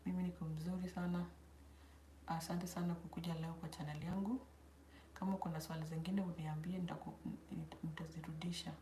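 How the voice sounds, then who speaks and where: Mellow East African woman in an echoey room. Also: fairly low pitch, little pitch movement, a little fast. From South Sudan, grew up in Kenya. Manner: informal, switches between English and Swahili. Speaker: host of a hair channel. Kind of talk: casual livestream chat answering viewer questions. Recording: roomy echo, faint background noise.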